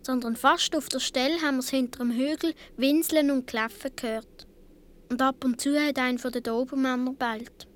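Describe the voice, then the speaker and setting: High-voiced Swiss boy, acted by a woman. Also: steady tone, normal speed, close to an everyday voice. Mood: earnest, tense. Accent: Swiss German. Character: seven or eight year old Swiss boy recalling his adventures. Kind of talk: radio play narration.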